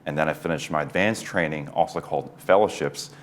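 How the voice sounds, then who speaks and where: A man in his forties, a neurosurgeon guest on an interview show, explaining things in a measured way with light chuckles. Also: a bass voice, a little hiss or hum. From United States East Coast. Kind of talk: casual interview talk.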